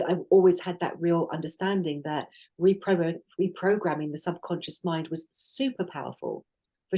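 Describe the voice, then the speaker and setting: A middle-aged woman with a lowish, mellow voice talks through her experiences in a relaxed podcast chat. She is earnest and reflective and often stops to restart her sentences. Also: rough recording. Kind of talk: casual conversational podcast.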